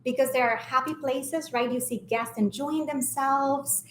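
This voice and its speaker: A middle-aged woman with an Ecuadorian Spanish accent tells her story at a fairly high pitch, tagging her points with "right?". The room around her echoes. A faint hum sits underneath. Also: fairly even intonation, unhurried. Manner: warm storytelling, often adds "right?". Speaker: hotel sales director and mentor. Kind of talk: casual mentoring interview.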